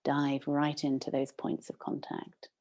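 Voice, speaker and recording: Female meditation guide, deep relaxed tone with creaky edges, unhurried and gently instructive. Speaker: meditation teacher.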